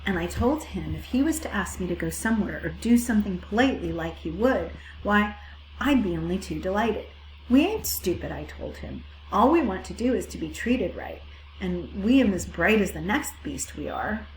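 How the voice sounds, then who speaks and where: A woman voicing a bossy, motherly old ewe with a hoarse, croaking voice and blunt rustic country dialect. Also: fairly high pitch, lively intonation, measured pace, a little hiss or hum, pitched above the performer's own voice. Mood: commanding. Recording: echoey room.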